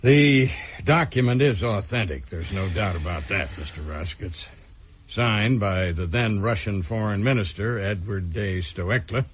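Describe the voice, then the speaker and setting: An actor playing a learned history professor in a radio drama, husky-voiced, courteous and formal. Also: male voice, lowish voice, a little slow. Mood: grave, authoritative.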